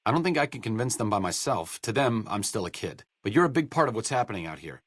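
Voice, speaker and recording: Game role: idealistic, articulate researcher with dry wit, low voice, very close dry studio sound. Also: male voice, very animated, fast, husky, no echo. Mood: earnest. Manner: sardonic, articulate, understated. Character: dry-witted, bookish male doctor and researcher, idealistic and self-deprecating. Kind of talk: video game dialogue acting.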